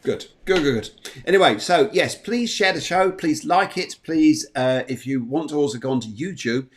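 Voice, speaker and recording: A man's voice: on the high side, moderate pace. A man hosting a livestream morning show in a chatty, jovial way, often repeating his phrases. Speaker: show host.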